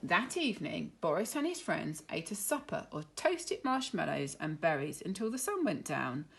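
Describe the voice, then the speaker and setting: A woman reading a children's story aloud in an expressive, deliberate teacherly way. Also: low-pitched, creaky, slight background noise. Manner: animated, deliberate.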